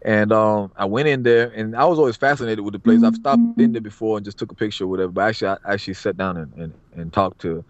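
A man's voice: on the low side, fast talker, hardly any pauses, no echo. A man, a barber, chatting casually as a podcast guest in a streetwise way, repeating himself and asking "you know what I mean". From Florida, USA. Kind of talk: casual interview talk. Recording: dull lo-fi audio.

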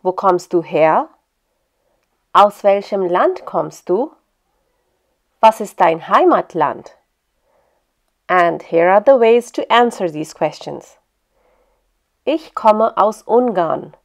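A woman hosting a language lesson, reading a scripted narration in a clear, steady way. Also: mid-range voice. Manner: clear, steady instructional delivery.

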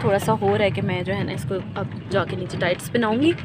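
Clear outdoor noise surrounds a young woman with a Pakistani accent. She chats casually as a vlogger, speaking at an ordinary pitch into a phone on an open chairlift. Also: fairly even intonation, fast talker. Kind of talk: casual vlog chat. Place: outdoors on a moving mountain chairlift. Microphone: handheld phone camera.

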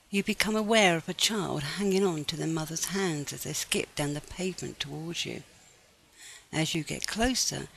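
A woman narrates a scripted guided meditation with a noticeably creaky edge to her voice. Her phrasing is measured and gently instructive. Faint to moderate background noise sits under the recording. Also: sits low, rough recording.

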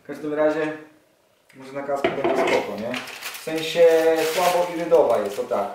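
A man's voice: on the high side, expressive, normal speed. A man in his forties talking casually and enthusiastically as he unboxes motorcycle gear, thinking aloud while he handles it. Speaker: motorcycle vlogger. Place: small indoor room, seated at a table. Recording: echoey room, distant camera mic, audible handling noise.

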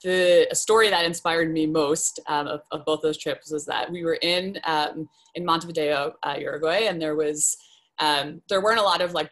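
Young woman on a zoom call at home, pretty low voice, lots of likes and ums. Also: fairly bright tone. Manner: chatty, many ums and likes. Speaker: panelist. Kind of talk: casual panel talk. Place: home room, indoors. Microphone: laptop webcam video call.

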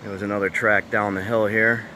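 A man's voice: fairly low pitch, fairly quick, a little hiss or hum, low-quality recording. A man narrating a casual walking tour, marveling aloud and talking to viewers as he moves through an overgrown abandoned site outdoors. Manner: relaxed, marvels aloud, talks to viewers as he walks. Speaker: urban explorer vlogger.

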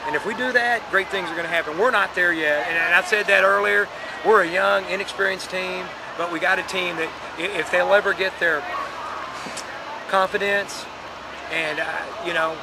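A man's voice: high-pitched, steady tone, moderate pace. A middle-aged American man, a football coach, talking earnestly in a postgame interview and often restarting his phrases. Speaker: high school football coach. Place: outdoors at night by a stadium building. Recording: crowd chatter and loud outdoor field noise.

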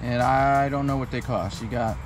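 A man in his thirties giving a relaxed, chatty step-by-step demonstration outdoors at a campsite under a canopy tent. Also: mid-range voice, normal speed. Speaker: vlogger. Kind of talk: casual how-to demo. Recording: background music under voice. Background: music.

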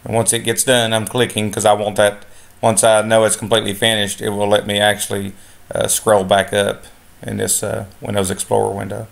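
A man giving a step-by-step software lesson over a screen recording, relaxed, with many filler sounds. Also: fairly low pitch, fairly quick, vocal fry. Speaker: instructor.

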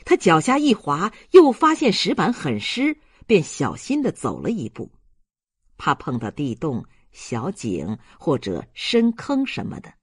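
An audiobook narrator reading a novel aloud in a measured, dramatic storytelling manner. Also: mid-range voice, huge pitch swings, breathy edge, no room sound, clean studio sound.

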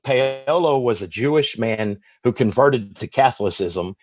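A man's voice: mid-range voice, expressive, measured pace, dry recording. A man with a Texas accent, a guest author giving long, rambling answers in a podcast interview, often restarting and correcting himself. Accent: Texan.

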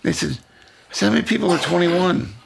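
Gravelly older man telling police stories. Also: high voice, fast, slight background noise. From Pennsylvania, USA. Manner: animated, acts out quoted voices. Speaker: retired police officer, guest. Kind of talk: storytelling in casual conversation.

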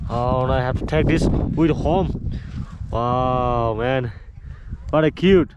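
A man's voice: medium pitch, slow. A young man from Sikkim vlogging his travels in a playful, exclaiming way, in broken English mixed with Nepali words, recorded on an action camera in a car and a hotel room. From Sikkim, India. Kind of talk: excited travel vlog. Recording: noisy outdoor action-cam recording.

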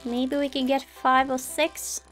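A woman's voice: high-pitched, flat delivery, unhurried, fairly bright tone. A woman giving casual, polite gameplay commentary as a let's play host, chatting to herself and the game. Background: game music.

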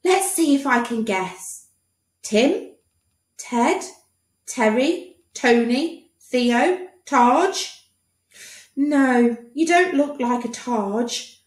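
A woman doing a flustered high-pitched doctor. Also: drawn-out words, recorded from a distance. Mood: chirpy guessing. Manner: fretful, high and excitable. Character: flustered fussy male hospital doctor. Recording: echoey room.

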